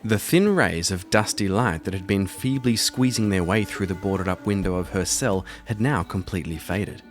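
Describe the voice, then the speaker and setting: A man with a fairly low voice narrates a solo role-playing show as its host. He shifts between storyteller-like reading and conversational play commentary, with faint music underneath. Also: normal speed. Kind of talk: scripted narration mixed with casual play commentary.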